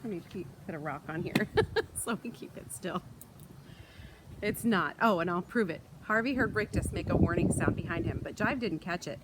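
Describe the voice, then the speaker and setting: A woman reading a children's book aloud in an expressive storytelling style, recorded outdoors. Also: on the low side, fairly quick. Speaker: read-aloud reader. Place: outdoors in wind. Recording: light outdoor wind and ambient noise.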